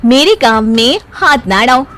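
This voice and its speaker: A woman voicing a proud, stubborn young married woman in an animated moral story, with a bossy, emphatic way of talking. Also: high voice, moderate pace, faint music behind.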